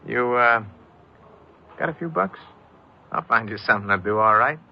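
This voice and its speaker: An actor playing a slick, pushy American small-time crook, slangy and cajoling, in an old-time radio crime drama. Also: male voice, medium pitch, expressive, moderate pace, gravelly, slight background noise. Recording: dull vintage radio recording, music behind.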